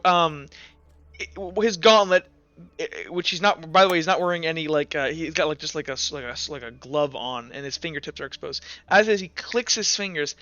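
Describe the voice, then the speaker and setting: A man running a tabletop game, improvising narration in a halting, casual, slangy way. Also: high voice, sharp tone, dry recording. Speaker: game master. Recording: muffled, music behind. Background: background music.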